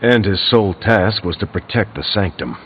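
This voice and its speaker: A man's voice: lowish voice, lively intonation, normal speed, slight background noise, lightly acted. A man playing a stoic, practical hero in a video game, firm and matter-of-fact. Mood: serious. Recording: dull band-limited game audio with music.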